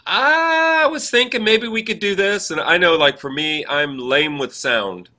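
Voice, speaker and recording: A man's voice: high voice, lively intonation, a little slow, pushed and bright. A man chatting casually as a co-host, telling anecdotes and acting out bits of quoted dialogue. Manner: acts out quoted dialogue while telling stories. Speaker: podcast co-host, theatre director and actor. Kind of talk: casual chat, animated anecdotes.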